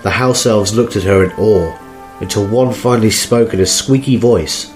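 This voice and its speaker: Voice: medium pitch, normal speed, a little mellow, soft background music. A narrator reading scripted story narration for a fan audio drama.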